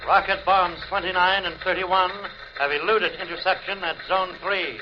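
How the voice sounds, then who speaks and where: A man's voice: high-pitched, steady tone, moderate pace, lightly acted. An actor playing a formal, clipped male military missile-detection announcer in a 1950s radio drama. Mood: impassive. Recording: noisy, muffled old radio recording.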